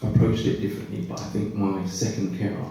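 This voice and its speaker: A man on a conference panel telling his own story, reflective and pausing mid-thought. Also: fairly low pitch, little pitch movement, dark tone. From Britain. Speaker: panelist, care leaver. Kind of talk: personal testimony on a panel. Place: conference hall. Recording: echoey hall, voice far from mic.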